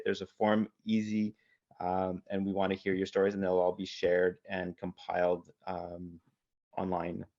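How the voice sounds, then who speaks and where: Man, low pitch, monotone, hesitant informal announcement, dull lo-fi web call. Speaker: campaign presenter. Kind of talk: informal announcement in an online event.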